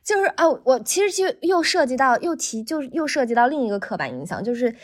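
High-voiced woman chatting, thinking aloud. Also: big pitch swings, fast talker. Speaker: guest voice actor. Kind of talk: casual podcast chat.

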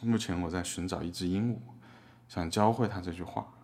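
A man playing a wry drifter artist as a defendant in an audio drama, casual, deadpan and self-mocking. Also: low voice, brisk. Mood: wry, aggrieved.

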